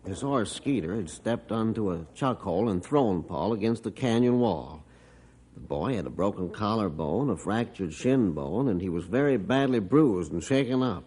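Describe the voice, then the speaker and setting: Husky folksy rancher father. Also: lowish voice, expressive, normal speed, a little mellow. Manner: folksy, matter-of-fact storytelling. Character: rancher father Mister Jorgensen, gruff storyteller. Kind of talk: 1950s radio drama narration.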